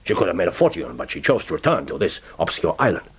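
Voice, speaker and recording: Jovial ruthless spy boss, husky and swoopy, radio play with film music under it. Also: male voice, low-pitched, very animated, fast, heavily acted. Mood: storytelling. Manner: expansive, commanding, teasing. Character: jovial, ruthless Japanese male spymaster briefing an agent. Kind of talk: radio drama acting. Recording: orchestral film score behind the voice.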